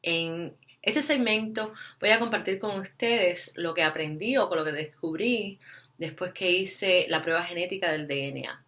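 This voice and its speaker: A woman's voice: on the low side, a little slow, a bit bright. A woman from Cuba with a Cuban Spanish accent, telling a personal story to her channel's viewers and dropping English fillers and frequent tag questions into her Spanish. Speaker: vlogger.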